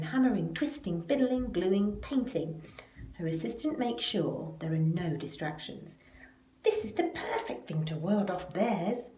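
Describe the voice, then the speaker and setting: A woman reads a picture book to children with very lively, swooping intonation. She takes her time, stressing the action words dramatically. Her voice rings in a roomy space with a little noise behind it. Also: lowish voice. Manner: deliberate, dramatic phrasing with emphasis on action words. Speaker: school staff member reading a picture book aloud. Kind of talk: expressive children's storybook read-aloud. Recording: roomy, echoey, faint background noise.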